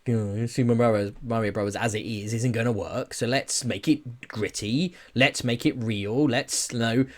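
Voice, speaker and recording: A man in a casual podcast discussion, giving opinions in an animated, sweary way with rhetorical questions. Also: mid-range voice. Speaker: podcast host.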